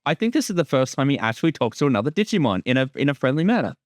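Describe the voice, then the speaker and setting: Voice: medium pitch, very animated, rapid-fire, no echo, studio quality. A person giving a casual creator's commentary on an episode, chatting informally. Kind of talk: casual director's commentary chat.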